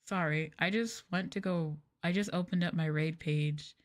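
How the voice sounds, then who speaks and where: A soft-spoken woman streamer chatting casually on an ASMR livestream in an unhurried, hesitant way, close to the microphone. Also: on the low side, fairly even intonation. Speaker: VTuber streamer, host.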